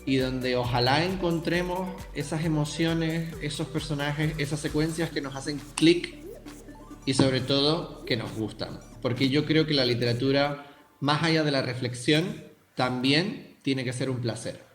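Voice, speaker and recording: A man's voice: on the high side, a little slow, roomy sound, faint music behind. A young man with a Canarian accent, a writer thoughtfully answering interview questions and speaking directly to the listeners. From Canary Islands, Tenerife. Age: young adult.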